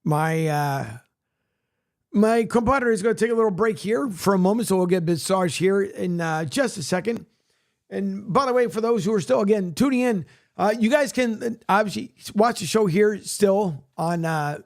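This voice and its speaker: A man hosting a sports radio show, rambling casually with many fillers, his voice raspy from a long day. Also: high voice, normal speed, a little mellow. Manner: rambling, many fillers, plugs and reads news aloud. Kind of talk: casual solo sports talk, filling time.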